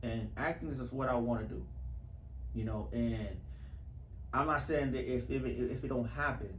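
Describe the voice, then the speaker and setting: A man's voice: mid-range voice, moderate pace. A man speaking casually and earnestly in an interview, repeating phrases for emphasis. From Houston, US. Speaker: actor being interviewed. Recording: echoey room with faint background noise.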